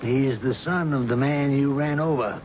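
An actor playing a gruff, sardonic police chief who presses a suspect in a radio drama. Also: male voice, medium pitch, moderate pace, darkish tone, lightly acted. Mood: pressing, accusing. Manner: insistent, sardonic, folksy interrogator. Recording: dull, noisy old radio recording.

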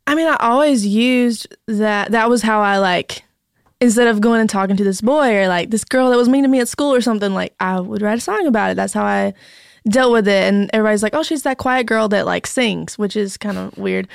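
A woman's voice: mid-range voice, fairly quick, gravelly, clean tone, no echo, studio quality. A young woman, a singer-songwriter guest on a podcast, chatting and giggling, saying "like" constantly. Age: young adult. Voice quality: mezzo-soprano singer. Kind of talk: casual interview chat.

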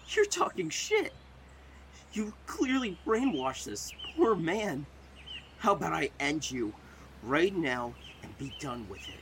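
A woman's voice: low voice, very animated, slow pace, a little hiss or hum, full character voice. An actress playing a defiant, threatening, cornered woman in a horror audio drama, speaking with scorn.

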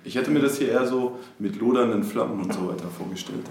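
A man's voice: mid-range voice, quick pace, vocal fry. A man co-hosting a podcast in casual, playful conversation. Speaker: podcast co-host. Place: indoor office. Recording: echoey, distant, faint background noise.

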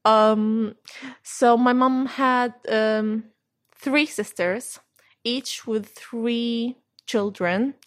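Young woman from Syria, interview guest, halting speech full of fillers. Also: a little high, steady tone. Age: young adult. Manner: hesitant, many fillers and restarts. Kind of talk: casual interview answers.

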